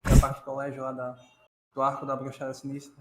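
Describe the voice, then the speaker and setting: A man's voice: medium pitch, completely flat delivery, fast talker. A Brazilian man chatting casually as a co-host reacting to a video. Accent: Brazilian Portuguese. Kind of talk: casual chat. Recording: echoey room, faint noise.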